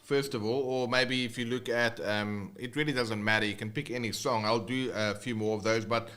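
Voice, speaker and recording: Casual South African male guitar teacher, bright tone, loose and rambling on a livestream. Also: mid-range voice, steady tone, moderate pace. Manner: loose and rambling, many fillers and restarts.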